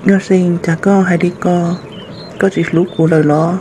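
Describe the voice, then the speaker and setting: A man's voice: high-pitched, flat delivery, normal speed, background noise, natural voice. A man voicing a young man courting a dragon maiden in an amateur cartoon dub, speaking in short, repeated phrases. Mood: earnest.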